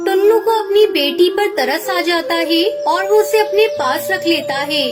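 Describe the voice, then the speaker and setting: A woman narrating a children's cartoon story in a clear, measured way, with music behind. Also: very high voice.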